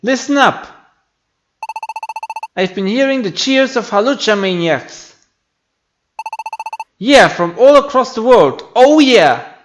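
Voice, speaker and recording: A man voicing a boisterous hawk creature wrestling coach from a game, shouting hype and screeching battle cries. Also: very high voice, lively intonation, slow, pauses often, noisy background, voice pushed up, heavily acted. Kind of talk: amateur game character voicing. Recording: music behind the voice.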